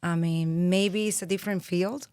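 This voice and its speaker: A woman in her thirties from Venezuela with a Venezuelan Spanish accent, a podcast guest speaking earnestly and reflectively, pausing often with fillers. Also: on the low side, steady tone, a little mellow, smooth, no echo, studio quality. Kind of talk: interview conversation.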